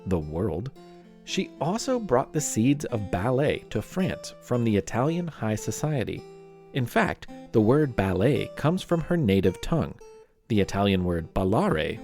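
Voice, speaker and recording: A man's voice: lowish voice, darkish tone. A man narrating scripted history as a podcast host, with playful, wry asides.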